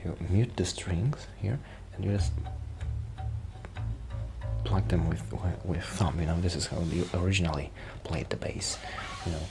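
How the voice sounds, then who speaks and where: A soft-spoken man rambling casually in a shy, hesitant, apologetic way, in the style of an ASMR friend roleplay. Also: sits low, unhurried, music in the background, low-quality recording. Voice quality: soft-spoken, sometimes dropping toward a whisper. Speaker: ASMR roleplay performer playing a musician friend. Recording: clear background noise.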